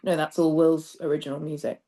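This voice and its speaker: A woman's voice: deep voice, level tone, fairly quick, mellow. A woman speaking as a theatre director in a casual interview, thoughtful and discursive, letting phrases trail as she explains. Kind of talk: casual interview chat about stage work.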